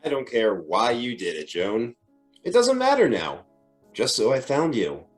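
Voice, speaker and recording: A man's voice: medium pitch, wildly swooping pitch, unhurried, faint music behind. A man playing an earnest, insistent sweetheart who presses emotionally, in an old-time radio drama. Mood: tender relief.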